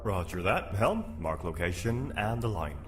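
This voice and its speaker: A man playing a gruff, terse spaceship commander in an audio drama. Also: low-pitched, very animated, a little slow. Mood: commanding. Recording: very loud spaceship engine noise.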